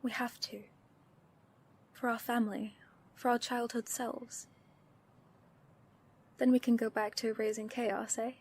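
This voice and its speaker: A woman's voice: on the high side, little pitch movement, measured pace, pauses often, darkish tone, slight background noise. A woman playing a loyal, spirited supervillain in an audio roleplay, speaking in a fond, playfully daring way. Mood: tender, nervous.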